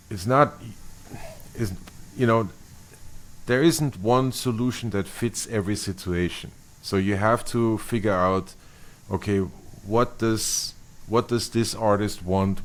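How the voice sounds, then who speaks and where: A middle-aged man from Austria, a bassist being interviewed, speaking thoughtfully with many restarts and fillers. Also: fairly even intonation, takes it slowly. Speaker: bassist guest. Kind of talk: interview conversation.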